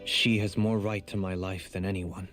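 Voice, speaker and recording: Melancholy game nobleman, lowish voice, formal, measured, solemn, refined, music clearly behind. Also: flat delivery, fairly bright tone. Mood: solemn, resolute. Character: refined, melancholy young nobleman and sworn lord. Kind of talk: dramatic video game voice acting. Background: prominent music.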